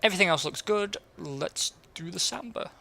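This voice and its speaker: A man casually commentating a racing management game as a let's play host, his voice going hoarse and clearing his throat now and then. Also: high voice, normal speed, noisy background. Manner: relaxed and chatty, reading out results.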